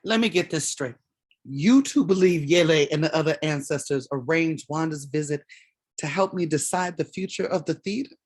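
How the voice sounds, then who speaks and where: Woman acting low-voiced, wounded, strong-willed mother. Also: moderate pace, breathy edge. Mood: indignant, skeptical. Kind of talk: staged play reading.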